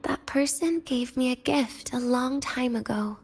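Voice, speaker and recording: A woman voice acting a weary, teasing, guarded and cynical young woman patient in a video game, with a breathy, languid delivery that slips into near-whispers. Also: high-pitched, unhurried, full character voice. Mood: resigned, bitter. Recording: music behind the voice. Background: background music.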